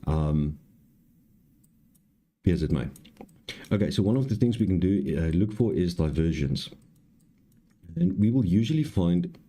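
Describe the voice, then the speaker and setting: A man with an extremely deep voice teaches in a live tutorial. His tone is dark and relaxed, with a raspy, hoarse edge. He explains haltingly, going back over points as he goes. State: hoarse, clearing throat. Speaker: trading live-stream host and instructor.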